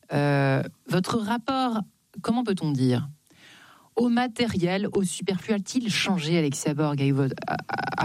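Low-voiced woman hosting a radio interview. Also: a little mellow, no echo. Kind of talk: conversational interview. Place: radio studio.

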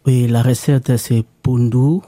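A man from Congo, a native Lingala speaker, talks haltingly in a radio studio, restarting his phrases often. His voice is very dark and mellow, and the sound is dry and close. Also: mid-range voice, fairly even intonation, dry recording. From Democratic Republic of the Congo. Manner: hesitant, halting with restarts and fillers. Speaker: guest, new worker at a farm association. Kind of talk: radio interview, casual. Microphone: studio microphone.

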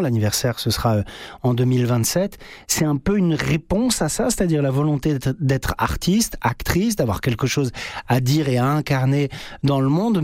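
A man's voice: a little high, a little mellow, no room sound. A male radio host leads an interview, his questions long and winding. He fills gaps with hesitations as he reaches for the point. The studio sound is dry and close.

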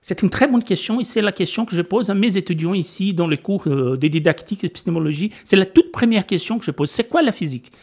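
Homme brésilien, voix assez aiguë, hésitant. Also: male voice, sits high, expressive, quick pace, hardly any pauses, a little mellow. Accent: Brazilian Portuguese. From Brazil. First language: Portuguese. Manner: hesitant, many filler sounds and restarts, laughs often. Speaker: physics lecturer, guest. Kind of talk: radio interview.

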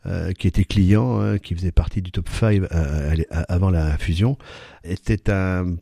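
A man's voice: deep voice, fairly even intonation, normal speed, creaky, dark tone, husky, no echo, clean studio sound. A man answering interview questions as a company executive, hesitant, with many fillers and restarts.

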